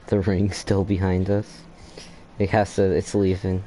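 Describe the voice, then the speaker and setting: A man with a deep, dark-toned voice talks through a game he is playing, in an unhurried, laid-back drawl. He thinks aloud, breaks off mid-sentence and restarts, and reacts with short offhand exclamations. Faint background noise sits under the voice. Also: monotone, poor audio. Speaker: gamer playing through a story quest. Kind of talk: casual let's-play commentary.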